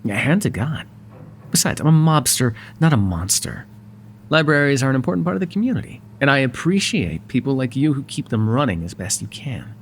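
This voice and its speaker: Casting: male actor for wry, exasperated yet courteous mobster, gravel-edged, conversational roleplay delivery, faint hum and noise on the recording. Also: mid-range voice, very animated, brisk. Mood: soft, apologetic. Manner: sardonic and conversational, swinging from exasperated to soft. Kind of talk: ASMR roleplay voice acting.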